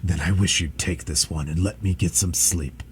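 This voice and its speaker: A man voicing a tired, fussy male dragon who grumbles in a low, sleepy way. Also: fairly even intonation, moderate pace, husky. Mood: pleading. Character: weary whining male dragon, big and fussy.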